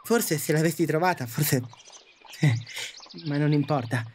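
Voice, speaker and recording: A man's voice: a little high, slow, darkish tone, a little hiss or hum. A man acting a wistful, reflective and hesitant passenger in the afterlife, in an audio drama. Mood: wistful, longing. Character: wistful male passenger in the afterlife, longing for love. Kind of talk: audio drama narration and dialogue.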